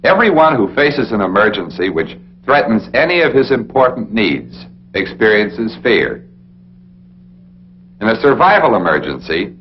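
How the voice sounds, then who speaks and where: An American man narrating a 1960s military training film in an authoritative, deliberate, instructional way, speaking straight to the listener. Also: on the low side. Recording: dull, noisy 1960s film soundtrack with hum.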